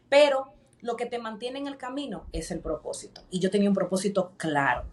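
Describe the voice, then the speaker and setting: A woman's voice: medium pitch, recorded from a distance. Dominican woman, voice set back from mic. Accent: Dominican Spanish. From Dominican Republic. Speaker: podcast guest. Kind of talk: personal storytelling in an interview.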